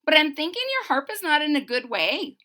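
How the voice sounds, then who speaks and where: A woman voicing a tiny, feisty and sly male leprechaun in a storybook reading, with a shrill, excitable voice. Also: fairly quick, heavily acted. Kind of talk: storybook read-aloud.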